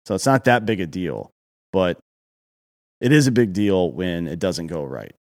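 A very low-voiced man riffs through a casual sports chat with sarcasm and steady profanity. He talks loosely and at length. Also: moderate pace. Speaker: co-host.

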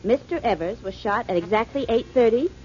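A woman's voice: a little high, expressive, fairly quick, lightly acted. An actress playing a playful, affectionate young woman companion in an old radio drama. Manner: bright, teasing. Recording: dull old radio broadcast with hiss.

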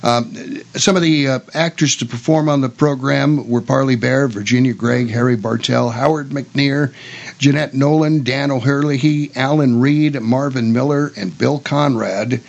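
Creaky-voiced male radio host. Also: mid-range voice, fairly even intonation, moderate pace, dull audio. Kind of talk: casual radio talk, partly reading notes.

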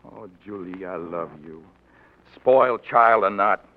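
Stern young Southern suitor: deep, commanding, formal, 1940s radio drama on a dull recording. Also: male voice, measured pace. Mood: exasperated, tender. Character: proud, stubborn young Southern gentleman suitor, sternly proper.

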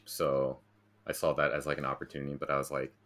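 A man's voice: extremely low pitch, monotone, quick pace, fairly bright tone. An American man telling stories in a casual, informal and self-deprecating way, as a guest in a conversation. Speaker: interview guest, graphic designer.

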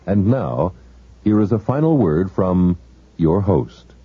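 A man announcing the closing credits of a radio drama in a measured, formal way. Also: low-pitched, big pitch swings, breathy edge, no room sound. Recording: dull lo-fi radio recording with music.

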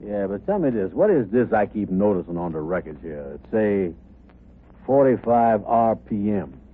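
An actor playing a slow-witted, stubborn, gullible man who speaks in muddled folksy dialect for 1950s radio comedy. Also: fairly low pitch, sing-song, breathy edge. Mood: puzzled. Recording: tinny, narrow-band old radio recording.

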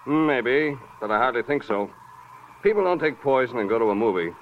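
An actor playing a matter-of-fact, clinical house doctor in an old-time radio drama. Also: male voice, medium pitch, lively intonation, moderate pace, lightly acted. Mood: grave. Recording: muffled, noisy old radio recording.

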